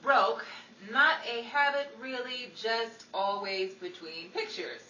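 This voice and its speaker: Woman acting a bright, sardonic starlet, echoey radio. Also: a little high, slow, lo-fi sound. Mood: teasing. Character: sardonic, exasperated Hollywood starlet, young woman. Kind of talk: 1940s old-time radio comedy acting. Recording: echoey, distant mic with faint crowd and noise.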